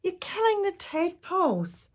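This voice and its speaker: A woman voicing an excitable young boy in a picture book, exclaiming urgently. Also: very high voice, a little slow, voice pushed up, full character voice. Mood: urgent, excited.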